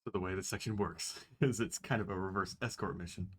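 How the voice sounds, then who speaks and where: Man joking around, lowish voice, casual. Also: steady tone, fairly quick. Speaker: co-reader.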